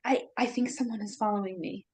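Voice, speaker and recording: A woman's voice: medium pitch, fairly even intonation, a little fast, darkish tone, lightly acted. A woman acting a brash, foul-mouthed young woman in a table read of a horror film script. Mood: nervous, scared.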